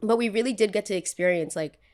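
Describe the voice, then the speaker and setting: A young woman with a New Jersey accent hosting a podcast, telling stories in an animated, emphatic way with quick asides. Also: mid-range voice. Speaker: podcast host. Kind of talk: casual chat.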